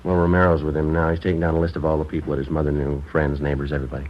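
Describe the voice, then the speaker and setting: An actor playing a clipped, unflappable police sergeant who also narrates, in a flat, matter-of-fact vintage radio drama delivery. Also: male voice, rumbling low, racing, slight background noise. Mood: businesslike. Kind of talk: 1940s-50s radio drama acting. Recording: lo-fi vintage radio broadcast with music.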